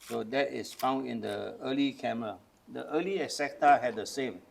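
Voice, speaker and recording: A man's voice: mid-range voice, moderate pace, creaky, slight background noise. An older man from Malaysia casually demonstrating old cameras, explaining each step patiently. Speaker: camera collector. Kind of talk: casual show-and-tell demonstration.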